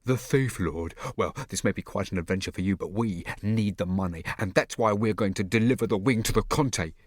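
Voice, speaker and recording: A man voicing a scrappy, hot-tempered boy who leads a gang, hissing and forceful. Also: lowish voice, a little fast, no echo, lightly acted. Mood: angry, bossy.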